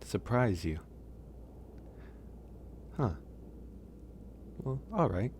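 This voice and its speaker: A man playing a gentle, caring werewolf in an intimate ASMR roleplay, speaking softly and hesitantly with pauses. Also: medium pitch, big pitch swings, slight background noise, full character voice. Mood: casual, friendly. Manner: soft, hesitant, pausing. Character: gentle, caring young male werewolf, shy and teasing. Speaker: audio roleplay voice actor. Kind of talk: ASMR roleplay, intimate one-sided dialogue.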